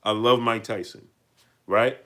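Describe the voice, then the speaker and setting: A man with a New York accent hosts a live stream, greeting his viewers and answering their messages. His voice is bright and forceful, and he hammers his points by repeating them. He climbs to loud, heated peaks. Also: fairly low pitch, steady tone, measured pace. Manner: emphatic, repeats lines, rises to heated peaks. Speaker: livestream host. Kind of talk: live-stream chat, reading super chats.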